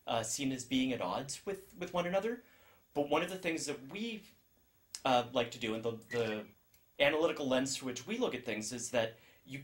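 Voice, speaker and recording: A man's voice: mid-range voice, fairly bright tone. Hesitant man giving a classroom talk. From San Francisco, USA. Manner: hesitant, many ums and restarts. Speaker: guest speaker. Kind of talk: classroom video call talk. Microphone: video call.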